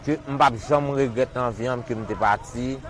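A man, a business owner answering interview questions haltingly, with some repeated words. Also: mid-range voice.

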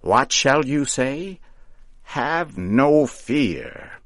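A man voicing an old witch woman, gleeful and commanding, with a high, loud, drawn-out delivery. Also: sing-song, creaky, no room sound, clean studio sound, exaggerated voice.

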